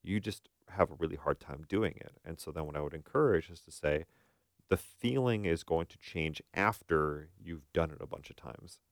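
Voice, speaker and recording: A man with a very low, gravelly voice talks as a therapist co-host in a casual advice discussion. He explains step by step and keeps posing questions to check agreement. The sound is dry, with little room in it. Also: moderate pace, no room sound. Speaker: therapist co-hosting a talk show.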